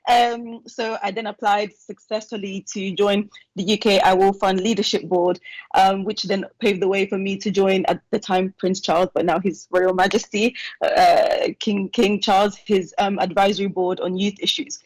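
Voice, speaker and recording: A young woman, born in Nigeria and raised in England, sharing her story with a panel over a remote video call in a chatty way with quick asides. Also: medium pitch, little pitch movement, no room sound, poor audio. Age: young adult. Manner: chatty and warm, quick asides with light laughs. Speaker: alumna panelist.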